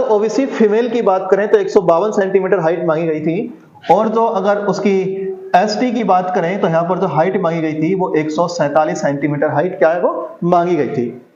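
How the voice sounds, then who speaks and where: A man's voice: high-pitched, a little mellow. A man giving an exam-prep lesson, asking himself rhetorical questions, answering them and repeating key figures. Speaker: exam coaching teacher. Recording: echoey room, dull lo-fi sound.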